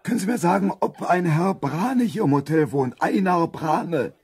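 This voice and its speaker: An actor playing a shady, menacing crook with polite manners in a 1970s German radio play. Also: male voice, high-pitched, expressive, normal speed, a little mellow, slightly rough, full character voice. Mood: polite, probing.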